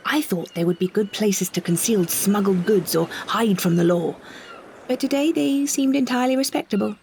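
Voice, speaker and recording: A British woman narrates a first-person audio story at a middle pitch. Her delivery is measured and expressive, with careful storyteller's phrasing. Clear ambient noise sits behind her voice.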